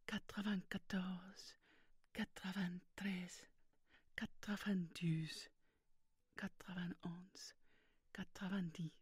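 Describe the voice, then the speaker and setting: An anxious, sleepless Cowardly Lion from an ASMR roleplay, acted by a woman. The voice is breathy and fretful, with slow pacing and a dry, close sound. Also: medium pitch, no room sound. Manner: fretful outbursts, hushed breathy counting.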